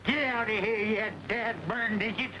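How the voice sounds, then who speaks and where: An actor playing a cantankerous, indignant old-timer in an old western. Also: male voice, high-pitched, measured pace. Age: older. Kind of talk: 1930s-40s western film acting. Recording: noisy old western soundtrack.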